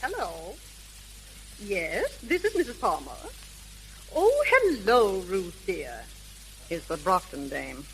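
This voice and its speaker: A woman's voice: medium pitch, low-quality recording. An actress in a 1930s radio drama playing a middle-aged crook who poses as an eager, gushing society mother. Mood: sugary. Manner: gushing, overly eager, slangy in private. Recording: hissy, humming 1930s radio broadcast.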